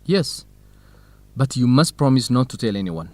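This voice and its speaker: Actor as a caring young man, very animated intonation. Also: mid-range voice, normal speed, no room sound, lightly acted. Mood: confiding. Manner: earnest, reassuring. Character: Khalid, caring, reassuring young man, wise friend. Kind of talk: scripted educational radio drama.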